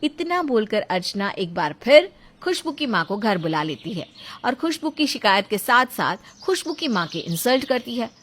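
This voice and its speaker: A woman's voice: on the high side, very animated, moderate pace, background noise. A woman narrator reading a scripted bedtime moral story.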